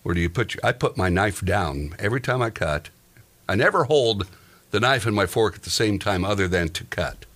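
Male podcast host, bright and animated, rambling comic chatter. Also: on the low side, a little fast. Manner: joking, rambling, tells exaggerated everyday anecdotes. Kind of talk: casual comic chat.

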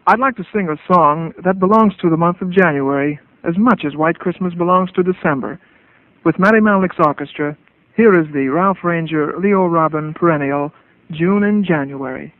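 A man's voice: a little high, moderate pace, no room sound. Dry, tinny man introducing a song. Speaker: featured singer introducing his song. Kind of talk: 1940s radio song introduction. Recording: phone-like old radio broadcast.

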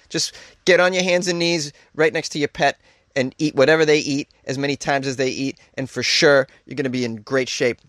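A man's voice: mid-range voice, fairly bright tone, no room sound, clean studio sound. Jokey man riffing on odd news. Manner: jokey, laughs at his own jokes; mock-incredulous asides. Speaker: host. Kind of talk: comedic news reading and riffing.

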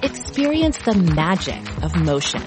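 A woman's voice: fairly low pitch, very animated, unhurried. A woman reading a scripted commercial as a voice-over. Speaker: advertisement voice-over. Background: music.

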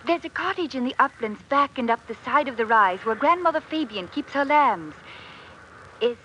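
A bold, barefoot country lass who teases as she flirts. Her fairly high voice carries quaint rustic turns of phrase, in a vintage radio play with faint noise. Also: lively intonation, moderate pace, pushed and bright, rough recording. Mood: teasing, flirtatious. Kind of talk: old-time radio drama acting.

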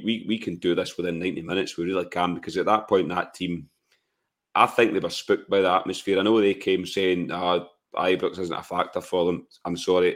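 Deep-voiced, hoarse Scottish man. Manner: blunt, opinionated, rhetorical questions. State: hoarse from shouting. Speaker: football podcast co-host. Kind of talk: casual post-match football chat.

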